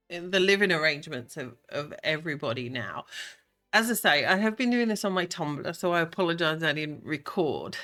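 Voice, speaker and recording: A voice, a bit croaky and stuffed up from a cold, talks over a life-sim game. The delivery is slow and unhurried, with a bright, clear edge. The speaker rambles on easily, with plenty of ums and restarts. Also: medium pitch. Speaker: Sims gameplay creator. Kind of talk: casual gameplay commentary.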